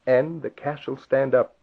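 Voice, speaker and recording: An actor playing a smug, greedy crook with theatrical delivery in an old-time radio drama. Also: male voice, mid-range voice, steady tone, takes it slowly, creaky, husky, heavily acted. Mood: smug, gloating. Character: crooked male schemer, self-satisfied and greedy. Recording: tinny, narrow old radio recording.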